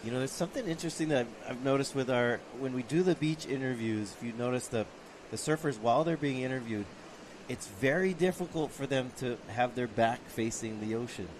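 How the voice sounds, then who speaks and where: A man's voice: medium pitch, brisk. A man commentating on a surf contest, reflective and talkative, a father. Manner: reflective, storytelling. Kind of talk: live sports commentary.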